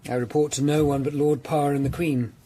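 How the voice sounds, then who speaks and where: An actor playing a courteous, measured Tudor lawyer-detective, calmly questioning witnesses in a period radio drama. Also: male voice, fairly high pitch, slight background noise, close to an everyday voice. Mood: gentle, probing. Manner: calm, polite, probing. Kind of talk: period audio drama acting.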